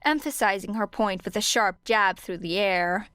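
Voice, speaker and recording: A woman's voice: medium pitch, normal speed, fairly bright tone, clear, resonant tone, no room sound, clean studio sound. A woman reading scripted fiction as an audiobook narrator.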